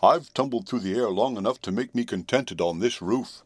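A man's voice: fairly low pitch, moderate pace, a little mellow, no room sound, lightly acted. A man voicing a weary, scornful old male horse in a storybook reading, grumbling as he talks. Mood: stubborn.

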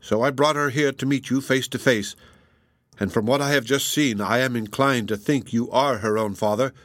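A man's voice: mid-range voice, a bit bright, no echo, studio quality, natural voice. Man reading as a solemn, upright sea captain. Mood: solemn, earnest. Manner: formal, measured, earnest. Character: upright middle-aged sea captain, solemn loving foster father.

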